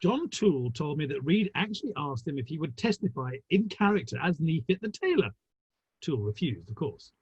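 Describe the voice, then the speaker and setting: Muffled online audio of a man acting a worldly Victorian gentleman in a dramatic reading. The voice is fairly high and swings widely in pitch. The delivery is arch, formal and drily witty, relishing the gossip. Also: very animated, fairly quick, breathy edge, no echo, full character voice. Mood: dry, worldly. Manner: arch, dry wit, formal phrasing.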